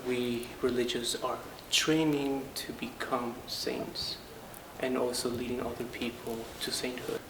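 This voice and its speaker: Young man, even-toned. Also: mid-range voice. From Colombia. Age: 20s. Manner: halting, speaks in short phrases. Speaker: Franciscan friar and painting student. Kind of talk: interview monologue, personal reflection. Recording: noticeable background noise, ambience.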